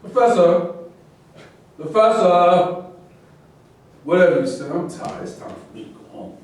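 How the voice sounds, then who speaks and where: A man's voice: sits high, animated, a little slow, darkish tone. A man acting in a staged reading, performing dialogue from a script. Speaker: actor in a staged play reading. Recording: echoey room, distant mic, faint noise.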